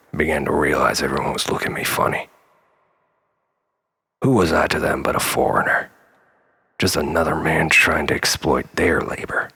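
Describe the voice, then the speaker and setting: A man's voice: rumbling low, vocal fry, bright, forceful tone, breathy edge. A man delivering a solemn, theatrical, darkly comic monologue in a brooding style.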